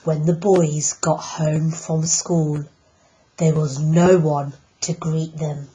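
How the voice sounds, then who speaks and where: Woman teacher reading a storybook aloud, lowish voice, takes it real slow. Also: little pitch movement, warm tone, poor audio. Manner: slow, deliberate reading with emphatic pauses. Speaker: teacher reading a picture book.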